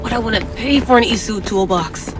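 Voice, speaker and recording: A woman's voice: on the high side, fairly quick, natural voice. Woman playing a determined adventurer, music behind her. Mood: awed. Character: determined, dry-witted adult woman researcher and adventurer. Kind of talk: video game cutscene acting. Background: background music.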